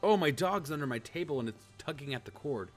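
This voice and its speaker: A man's voice: on the high side, lively intonation, fairly quick, soft background music. A man giving casual, rambling let's play commentary and reading a visual novel aloud, easily amused. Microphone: handheld microphone on a cord.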